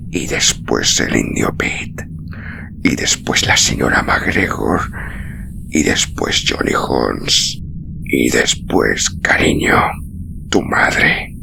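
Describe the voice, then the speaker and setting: A man playing an exhausted, gently protective traveler near death in a storybook reading, murmuring slowly in a low, breathy, gravelly voice. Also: very animated, whispered, exaggerated voice. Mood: grave, sorrowful. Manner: slow, halting, tender. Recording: clearly noisy recording.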